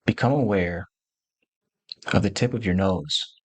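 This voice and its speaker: A man leading a guided meditation, giving gentle instructions in short, spaced phrases as a teacher. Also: low-pitched, unhurried, husky, dry recording.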